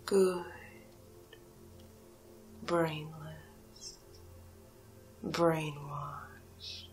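Woman as a very slow, whispering hypnotic alien cow. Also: sits low, steady tone, a little mellow. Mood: hypnotic, commanding. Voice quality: breathy, whispery. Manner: slow, deliberate, coaxing, commanding. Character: commanding, hypnotic female alien cow captor. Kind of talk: hypnosis roleplay ASMR, mostly whispered. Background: music.

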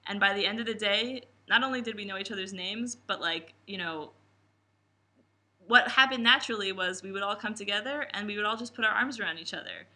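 Bright-voiced woman in her twenties. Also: brisk. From New Jersey, New York area. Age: 20s. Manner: expressive, acts out quotes with big pitch jumps. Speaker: camp coach. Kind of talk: reflective interview answers. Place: indoor room with posters.

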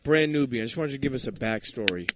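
A man's voice: mid-range voice, animated, fast talker, heavy background noise. A man hosting a podcast, interviewing in a casual, slangy way. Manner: slangy, informal.

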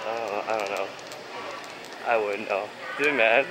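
A man's voice: lowish voice, slow pace. A man in his twenties chatting casually and playfully as he films a walking vlog outdoors. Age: 20s. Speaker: vlog host. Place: outdoors on busy city streets. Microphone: handheld camera at arm's length. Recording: loud city street noise.

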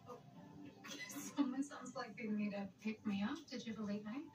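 A woman's voice: a little high, normal speed, music in the background, natural voice. An actress playing a teasing, probing woman friend in a soap opera. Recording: TV played into distant mic, echoey, noisy.

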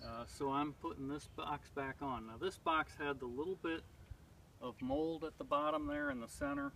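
A man in his thirties explaining step by step as he works outdoors in spring woods, talking in a plain, methodical way with frequent pauses. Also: a little high, lively intonation, a bit bright, slight background noise. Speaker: beekeeper vlogger. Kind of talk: casual demonstration. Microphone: far camera on a tripod. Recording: distant outdoor mic with clear background ambience.

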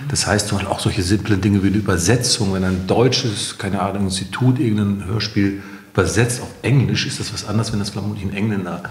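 A middle-aged man with a low voice answers as a business founder in an interview. He explains in a conversational way, dropping in English management jargon. The recording is roomy and echoing. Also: fairly quick.

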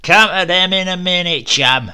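A man doing a brisk, clipped official. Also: high voice, bright, forceful tone, clean tone, voice pushed up. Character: brisk man listing a captive's belongings.

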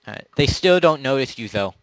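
A man running a tabletop zombie role-play as game master, narrating in a halting, improvised way. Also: mid-range voice, lively intonation, no echo, low-quality recording. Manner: halting, pieces scenes together as he goes.

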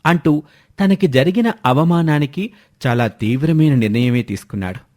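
A man's voice: a little high, a little mellow. A man narrating a scripted moral story as the storyteller.

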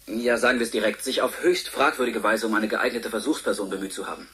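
Male TV journalist played by an actor in radio drama: lowish pitch, polite, formal, quietly probing. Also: fairly even intonation, close to an everyday voice. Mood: politely probing. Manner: formal, measured, insinuating.

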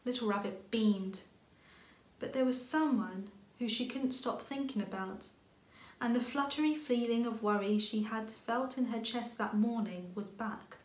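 Female storybook reader, thirties, higher register, expressive delivery, roomy home sound. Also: measured pace. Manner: expressive reading with character lines. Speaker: author reading aloud. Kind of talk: storybook narration for children. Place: indoor room with bookshelves.

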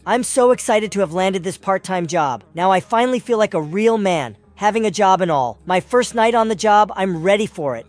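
A woman's voice: medium pitch, lively intonation, moderate pace, few pauses, a little mellow, close to an everyday voice. A woman voicing a shy, stammering young male night guard, over music. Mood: narrating. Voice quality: stammering, nervous. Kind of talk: comic dub acting.

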